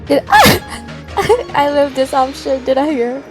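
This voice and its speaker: A woman's voice: high-pitched, very animated, slow, soft background music. A woman livestreaming a game with casual, playful and teasing reaction commentary.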